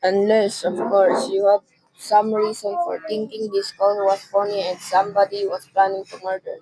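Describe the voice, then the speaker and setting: A teenage girl playing an unhurried, dismissive police desk sergeant in a radio suspense drama, offhand and patronizing. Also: mid-range voice, level tone, dark tone, slightly rough, dry recording, close to an everyday voice, rough recording. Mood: placating.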